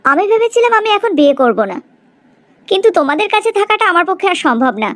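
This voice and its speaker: A woman's voice: very high-pitched, very animated, quick pace, fairly bright tone, no room sound, exaggerated voice. A woman playing an earnest, self-sacrificing rich young woman in a cartoon melodrama, speaking plaintively. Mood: upset, firm.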